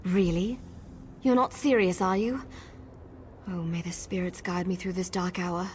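A woman's voice: on the low side, steady tone, measured pace, a little mellow, lightly acted. A woman playing an earnest, impatient young elf in a fantasy game, speaking expressively. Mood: exasperated. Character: eager, earnest young female elf rebel. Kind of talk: fantasy video game voice acting. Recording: faint background noise.

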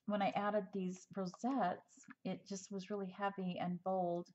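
A woman giving a casual craft tutorial at a table, chatty and rambling with frequent ums, slightly hoarse as she loses her voice. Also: mid-range voice, little pitch movement, a little slow, darkish tone. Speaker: crafter showing a handmade project. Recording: dull, lo-fi home recording.

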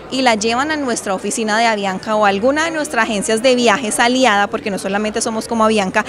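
A woman in her thirties, an airline representative, giving an interview at a busy indoor expo with handheld mics and a promotional, fluent manner. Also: a little high. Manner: fluent promotional explaining with fillers. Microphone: handheld reporter mics in frame. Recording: crowd chatter behind.

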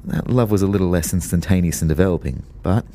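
An actor playing a wry, lovestruck young personal trainer who narrates an audio drama in a measured, understated way. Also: male voice, low-pitched, warm tone. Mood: wry, fond.

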